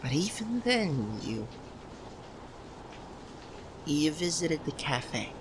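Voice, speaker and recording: A man acts out a young man hopelessly in love with a childhood best friend, in an intimate audio roleplay. The delivery is slow and broken by pauses, with a medium-pitched voice that rises and falls freely with feeling. Some background noise sits behind the voice. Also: very animated, full character voice. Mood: wistful, tender. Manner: halting, breaks phrases with long pauses. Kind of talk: ASMR roleplay, emotional dramatic monologue.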